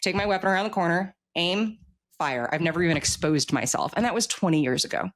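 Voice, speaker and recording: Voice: mid-range voice, animated, fast talker, a bit bright. Talkative middle-aged author chatting candidly. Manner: talkative, candid, informal, emphatic. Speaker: author being interviewed. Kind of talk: casual interview conversation.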